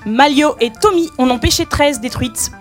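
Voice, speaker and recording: Upbeat high-voiced woman doing fake radio news, soft music under it. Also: moderate pace. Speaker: news co-presenter. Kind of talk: mock radio news bulletin.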